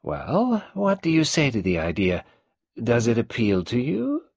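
A man's voice: mid-range voice, huge pitch swings, unhurried, creaky, no room sound, studio quality, pitched above the performer's own voice, exaggerated voice. Brief: man reading as a venomous old matriarch, raised and sly, taunting, wheezy, swooping inflections. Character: malicious old domineering mother, woman.

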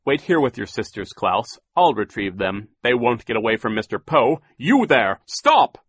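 A man's voice: lowish voice, animated, moderate pace, husky, no echo. A man voicing a blustering, pompous middle-aged banker guardian in an audiobook, indignant, officious and exclaiming. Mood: firm, officious. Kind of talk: audiobook character voice.

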